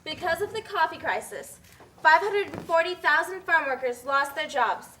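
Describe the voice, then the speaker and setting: A woman plays the explainer of a puppet show. The voice is very high and bright, with faint noise behind it. She delivers scripted facts in an earnest, explanatory way. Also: animated, moderate pace, heavily acted, low-quality recording. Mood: matter-of-fact. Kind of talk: scripted educational narration.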